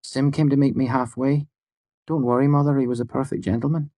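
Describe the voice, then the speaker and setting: A man's voice: medium pitch, a little fast, no room sound, studio quality, voice pushed up, natural voice. A man voicing a bold, playful young woman who teases her friends. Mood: teasing. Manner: lively, teasing.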